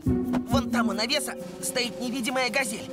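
A woman playing an earnest, clever schoolboy hero in an animated cartoon, explaining things seriously. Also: a little high, moderate pace, lightly acted. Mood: eager. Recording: loud cartoon music underneath.

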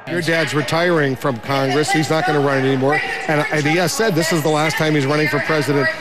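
A man's voice: a little high, steady tone, fast. A man anchoring a TV news interview, asking questions in an indignant tone. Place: TV studio.

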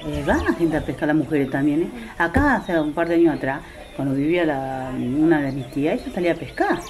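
A woman's voice: sits low, animated, a little fast, a crowd in the background. A woman with an Argentine accent casually recalling things in conversation. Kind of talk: casual recollection.

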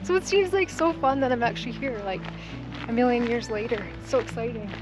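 A woman vlogging outdoors on a trip, chatting casually and excitedly with little laughs between phrases. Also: on the high side, brisk, music in the background, low-quality recording. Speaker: travel vlogger. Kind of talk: casual vlog chat. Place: outdoors at a national park.

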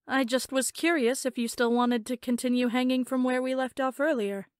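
Woman playing a higher-voiced, considerate young friend. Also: steady tone, moderate pace, dry recording, studio quality, lightly acted. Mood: casual. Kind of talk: visual novel voice acting.

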